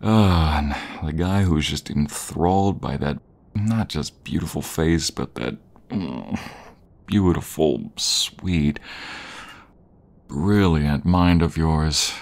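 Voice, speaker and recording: A man's voice: extremely low pitch, darkish tone, exaggerated voice. A man acting an adorkable, gushing nerdy jock boyfriend in an intimate audio roleplay, affectionate and teasing. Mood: tender, adoring. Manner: affectionate, teasing, breathy pauses and chuckles.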